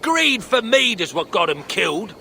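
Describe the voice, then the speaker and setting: A man's voice: sits high, sing-song, moderate pace, gravelly, bright, forceful tone, a little hiss or hum, full character voice. An actor playing a grumbling, grieving dwarf smith in a video game, blunt and salty in his talk. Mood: grieving, bitter. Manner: blunt, colloquial, salty-tongued. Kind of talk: video game dramatic acting.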